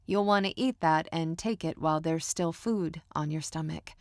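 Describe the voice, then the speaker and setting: A woman voicing a middle-aged mother who talks in a soft, caring, doting way. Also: low-pitched, moderate pace, dry recording, studio quality, close to an everyday voice.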